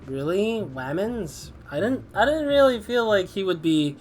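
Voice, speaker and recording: A man's voice: sits high, animated, measured pace, slight background noise. A man doing chatty let's play commentary over a game. He sounds a little stuffy from a cold and rambles. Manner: chatty, rambling, laughs often. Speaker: gaming YouTuber. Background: game music.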